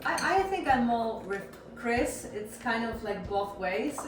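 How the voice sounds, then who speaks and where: A woman's voice: on the high side, animated, slow pace. A woman from Poland with a Polish accent hosting a casual kitchen chat and asking questions. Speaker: host. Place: indoor kitchen with chopping and clattering dishes. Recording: roomy kitchen, distant mic, music underneath.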